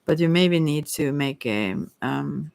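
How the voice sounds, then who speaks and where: A person giving a casual ASMR tarot reading, rambling and musing in a gentle, reassuring and hesitant way. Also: mid-range voice, lively intonation, takes it slowly, gravelly. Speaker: tarot card reader.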